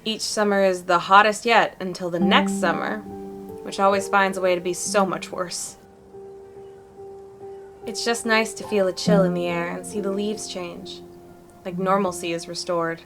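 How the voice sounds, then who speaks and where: A woman's voice: mid-range voice, lightly acted. A woman playing a chatty, upbeat young cryptid hunter who makes videos, with an earnest, folksy way of talking in fan-dubbed game dialogue. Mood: wistful, friendly.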